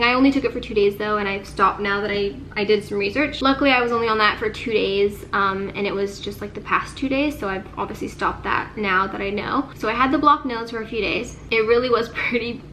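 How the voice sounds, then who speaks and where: Young female vlogger, sick and congested, nasal tone, rambling casual delivery, mid pitch. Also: brisk, few pauses, fairly bright tone, slight background noise, rough recording. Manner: chatty, sprinkles speech with "like". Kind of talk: casual chat to camera.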